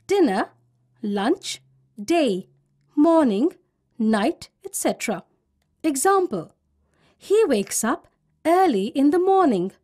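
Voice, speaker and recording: A woman narrating a scripted lesson for children as a teacher, enunciating deliberately and listing items word by word. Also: a little high, darkish tone.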